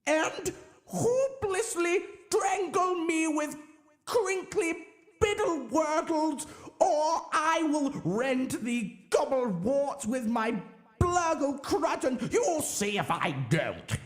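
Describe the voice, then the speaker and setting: Merciless alien captain: pompous, melodramatic, agonizingly slow, in an echoing space. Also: male voice, very high voice, lively intonation, faint music behind, pitched above the performer's own voice, heavily acted. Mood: strident, menacing. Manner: melodramatic, strident, drawn-out delivery.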